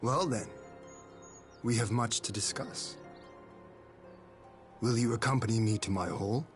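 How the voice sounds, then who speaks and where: An actor playing a proud, dignified lord in a video game, speaking in a formal and stately manner. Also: male voice, medium pitch, unhurried, faint music behind, natural voice. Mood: gracious, formal. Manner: stately, formal, archaic phrasing. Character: proud, dignified male lord, gracious and composed. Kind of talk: scripted game dialogue.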